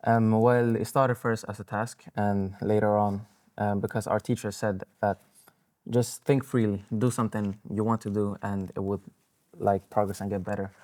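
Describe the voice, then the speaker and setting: A man's voice: lowish voice, level tone, moderate pace, darkish tone. A teenage boy student answering hesitantly, with frequent fillers. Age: teens.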